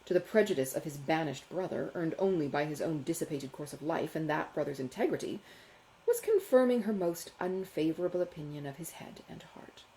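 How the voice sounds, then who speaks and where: A woman reading a classic novel as an audiobook, expressive and carefully phrased in a measured literary manner. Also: low voice, poor audio. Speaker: audiobook narrator, author.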